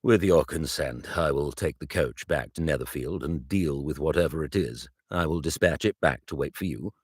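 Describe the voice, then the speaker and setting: A man voicing a reserved young gentleman who speaks in a clipped, formal manner in a story reading. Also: extremely low pitch, moderate pace, breathy edge, dry recording, clean studio sound.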